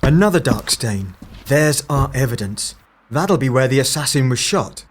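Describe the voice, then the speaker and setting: A man acting in an audio drama as a terse, analytical investigator of time fractures, with a clipped, deliberate delivery. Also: medium pitch, animated, slight background noise, lightly acted. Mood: cool, controlled.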